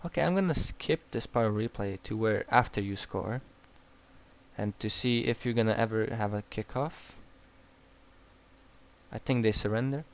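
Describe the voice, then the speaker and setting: Young Croatian-accented man, lowish voice, blunt and profane game coach. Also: moderate pace, long pauses. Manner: blunt and profane, frequent restarts. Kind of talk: replay analysis commentary.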